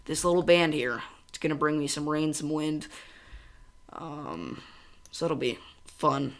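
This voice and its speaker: A young man from central Illinois hosts a casual weather livestream, chatting at home and reading chat aloud. His voice is bright and forward, pitched a little high, and he talks fast and excitedly, drifting into tangents. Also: creaky.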